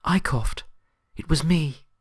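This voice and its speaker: Man putting on a breathy, high-pitched timid pony girl. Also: takes it slowly, no echo, studio quality. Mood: suddenly confident. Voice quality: breathy, whispery. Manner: hesitant, soft-spoken. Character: timid, gentle young female pegasus pony, hesitant and pleading.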